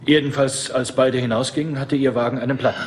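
An actor playing a dutiful police officer in a crime radio play, giving his report in a brisk, matter-of-fact way. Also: medium pitch, steady tone, echoey room, slight background noise, natural voice.

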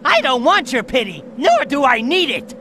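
An actor playing a proud, hot-headed young bird rival in a video game cutscene, brash and indignant. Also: male voice, very high voice, wildly swooping pitch, moderate pace, pushed and bright, slight background noise, exaggerated voice. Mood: offended.

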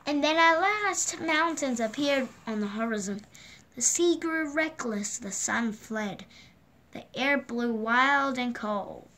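A woman reading a children's picture book aloud at a gentle, unhurried pace, filmed indoors over the pages on a table, lifting her voice for the characters' lines. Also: high-pitched, lively intonation, slight background noise. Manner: slow, gentle read-aloud pacing with lifted voices for quoted lines. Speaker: storybook reader. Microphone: phone or camera filming the book from above.

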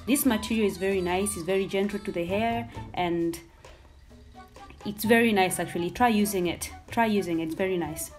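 A woman's voice: medium pitch, a little fast, faint music behind. Swahili-speaking woman chatting through a hair tutorial. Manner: chatty, explains step by step, mixes in Swahili words. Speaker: hair vlogger.

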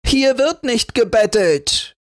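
Man playing a shrill, spiteful young woman. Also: expressive, unhurried, dry recording, studio quality. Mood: furious. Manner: shrill, scolding. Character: spiteful, vain young woman, quick to rage.

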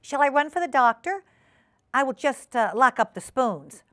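Brief: female reader as prim storybook cat hostess, high lilting pitch, genteel, fussy, sweetly formal with a severe streak. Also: lively intonation, moderate pace, fairly bright tone, dry recording, clean studio sound. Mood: fussing. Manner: prim, formal, oversweetly polite.

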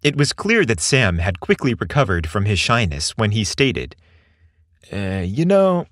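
A man narrating a romantic story in an expressive, earnest way, recorded close on a studio mic. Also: on the low side, moderate pace, no echo. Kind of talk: scripted narration.

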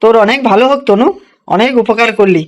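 Cartoon part: busy, quick-tempered village shop man played by a woman, high-pitched, expressive. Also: lo-fi sound, lightly acted. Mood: weary, kindly. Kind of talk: children's cartoon dialogue.

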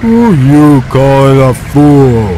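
A dopey male weasel henchman with a dark, very slow voice. He is a dim cartoon game sidekick, with faint street noise behind. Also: mid-range voice, expressive, low-quality recording. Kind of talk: exaggerated video game cartoon acting.

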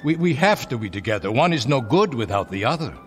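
An actor in a radio drama playing an anxious, polite, devoted elderly husband who stammers and hesitates. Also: male voice, medium pitch, sing-song, quick pace, faint music behind, natural voice. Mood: pleading, tender. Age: older.

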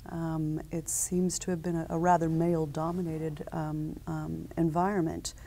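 Low, gravelly businesswoman in interview. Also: flat delivery, warm tone. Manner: measured, businesslike, with frequent "uh" and "um". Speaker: company founder, interview guest. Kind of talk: conversational interview answers. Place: conference interview set, seated in armchair.

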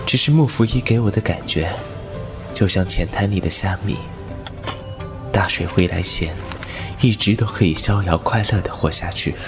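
A man's voice: deep voice, moderate pace. A man playing a fox spirit painter who narrates his story in a languid, teasing manner, with music behind him. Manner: languid, wry and teasing, laughs softly. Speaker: narrator and lead. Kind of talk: Chinese audio drama acting. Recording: over background music.

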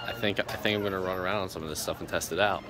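A young man vlogging on a road trip, joking and rambling excitably to a phone held at arm's length. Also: sits low, racing. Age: young adult. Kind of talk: casual vlog chat. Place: road trip, car, mall and parking lot. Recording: loud background noise.

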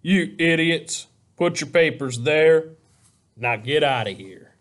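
A man voicing a big, contemptuous math teacher with a sarcastic manner in an audiobook story. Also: takes it slowly. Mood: scornful. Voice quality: deep. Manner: sarcastic, sneering.

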